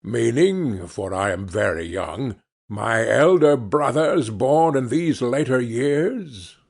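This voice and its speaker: A reader puts on a jolly male giant spirit, genial and cheery, who gives his orders in a warm, commanding tone. The voice is slow and husky and swings widely in pitch. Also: lively intonation, full character voice.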